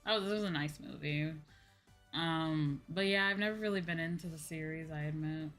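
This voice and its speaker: A woman's voice: sits low, moderate pace, a bit bright, soft background music. A woman streamer chatting casually to her livestream, with an exasperated, venting manner and some casual swearing. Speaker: VTuber streamer, host.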